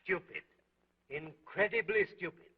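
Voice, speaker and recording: A curt, scornful police prefect. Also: male voice, high voice, measured pace, breathy edge. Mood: stern. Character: stern police prefect interrogating witnesses. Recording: tinny 1930s film soundtrack.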